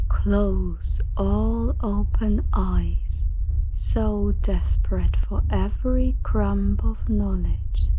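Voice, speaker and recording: Woman as an ancient dragon, very slow, hushed. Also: mid-range voice, a little hiss or hum, low-quality recording. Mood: soothing, hypnotic. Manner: hushed, breathy near-whisper, soothing and solemn. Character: ancient dragon, soothing keeper of a golden lair. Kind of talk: ASMR sleep-aid roleplay, scripted monologue.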